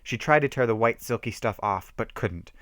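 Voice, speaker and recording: A man's voice: mid-range voice, normal speed, a bit bright, no echo, clean studio sound. A man giving an amateur dramatic reading of a horror story, with theatrical emphasis.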